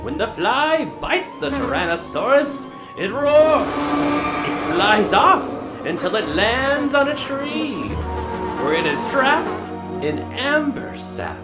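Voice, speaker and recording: An actor playing an older, grandiose park founder, presenting a tour video with dramatic, showmanlike storytelling in an audio drama. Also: male voice, very high-pitched, big pitch swings, slow, reverberant, loud music behind the voice, heavily acted. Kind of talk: theatrical scripted presentation.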